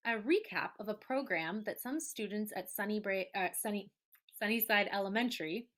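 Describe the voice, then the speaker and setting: A woman's voice: fairly high pitch, a bit bright. A woman presenting a show in an informal, conversational style as its host.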